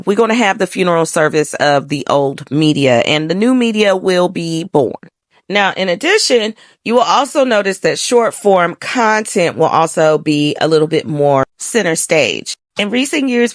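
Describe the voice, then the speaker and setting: A woman's voice: fairly low pitch, fairly bright tone, no room sound, clean studio sound. An American woman hosting an astrology monologue, talking to viewers in a colloquial, emphatic, conversational way with frequent check-in tags. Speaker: astrology host. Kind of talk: casual explanatory monologue to viewers.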